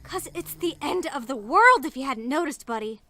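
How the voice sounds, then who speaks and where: Actress playing a high, bright, sardonic young woman. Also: normal speed. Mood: sarcastic. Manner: sardonic, animated. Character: sarcastic, playful young woman secretly in love. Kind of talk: amateur audio drama, anime fan parody.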